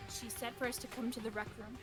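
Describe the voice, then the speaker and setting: A woman voicing a composed, blunt teenage girl detective in a visual novel read-aloud, measured and matter-of-fact. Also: on the high side, flat delivery, music in the background, lightly acted. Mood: cool, calm.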